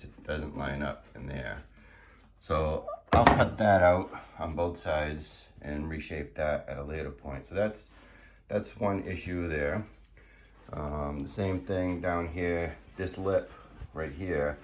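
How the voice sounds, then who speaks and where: A hoarse man casually walking through his build in a garage workshop, explaining with many fillers and restarts. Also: very deep voice, slight background noise. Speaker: car builder showing his project.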